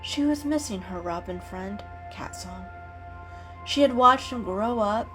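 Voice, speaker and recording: A woman's voice: medium pitch, animated, measured pace. Woman narrating a storybook over music. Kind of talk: scripted dramatic narration of an animal story. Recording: music behind the reading.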